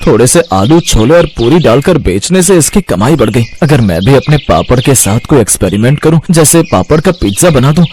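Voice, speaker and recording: A man's voice: medium pitch, darkish tone, slight background noise, natural voice. A man playing a poor, caring father and hopeful street seller in a moral story.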